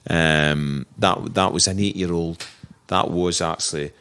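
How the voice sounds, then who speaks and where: Brief: husky distillery manager, middle-aged man, very low gravelly voice, halting and thoughtful. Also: steady tone, a bit bright. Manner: thoughtful, halting, many restarts. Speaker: distillery manager, podcast guest. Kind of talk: relaxed interview talk about whisky making.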